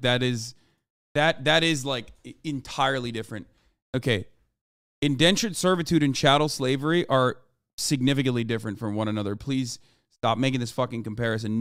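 A man's voice: mid-range voice, a little fast, fairly bright tone, clean tone, no echo. A man in his thirties giving emphatic, profane, lecturing political commentary on a livestream into a close desk microphone. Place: small room at home, indoors.

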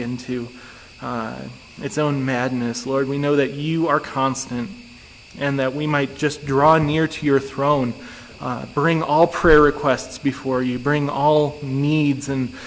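Man praying in an echoing church. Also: mid-range voice. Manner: earnest, steady, prayerful. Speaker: church member leading prayer. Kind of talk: pastoral prayer. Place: church sanctuary. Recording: long church reverb, faint noise.

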